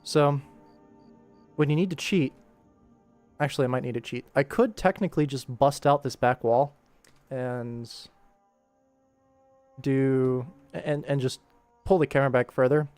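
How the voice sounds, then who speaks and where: A man's voice: medium pitch, measured pace, pauses often, a little mellow, no echo, soft background music. A man casually commentating on his animation work stream, thinking aloud and chatting informally with viewers. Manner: informal, rambling to himself and viewers.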